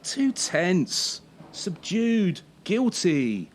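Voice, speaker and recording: A sneering, slow-talking gymnastics coach. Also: mid-range voice, big pitch swings, slight background noise. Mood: intimidating. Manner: sarcastic, scolding, deliberate with pointed pauses. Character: harsh, sneering former champion turned gymnastics coach. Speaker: actor in a radio play. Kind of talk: radio drama acting.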